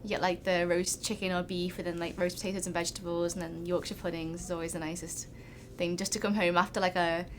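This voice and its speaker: A young Englishwoman answers questions in a relaxed, meandering way, her sentences full of small fillers. Her voice sits a little low for a woman. A faint background hum runs behind her. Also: fast. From England. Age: young adult. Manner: relaxed, rambling, lots of "like". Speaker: international student interviewee. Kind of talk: casual interview answers.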